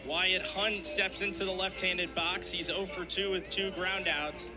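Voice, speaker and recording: A man's voice: high voice, steady tone, a little slow, music in the background. A young man doing radio play-by-play of a live game in clipped, descriptive phrases. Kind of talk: live sports commentary.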